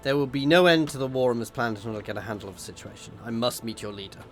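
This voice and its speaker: A man with a slightly hoarse voice reading the brisk, commanding lines of a noble male ship captain. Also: mid-range voice, fairly even intonation, over music, close to an everyday voice.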